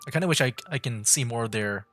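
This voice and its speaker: Bright-voiced casual man streaming games. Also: mid-range voice. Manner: casual, halting when reading aloud. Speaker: gaming streamer. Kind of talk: livestream gameplay commentary and reading aloud. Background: game music.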